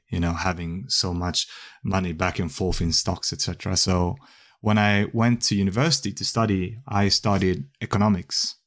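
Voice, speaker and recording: Thirties male guest from Sweden and Japan, very low pitch, relaxed and self-deprecating. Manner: conversational, self-deprecating, laughs at himself. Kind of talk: podcast interview.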